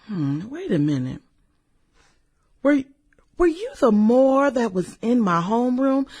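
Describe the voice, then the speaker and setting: A woman acting a brash, fun-loving businesswoman in a satirical radio play. Her pitch is fairly high and swings widely, while her pace is slow and drawn out. She is sassy, slangy and flirtatious. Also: very animated, a little mellow, husky, full character voice. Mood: curious, probing.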